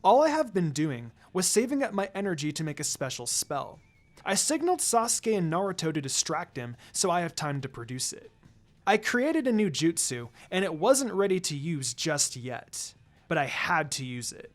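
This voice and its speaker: A man's voice: a little high, big pitch swings, normal speed. A man reading fan fiction aloud as dramatic first-person story narration. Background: background music.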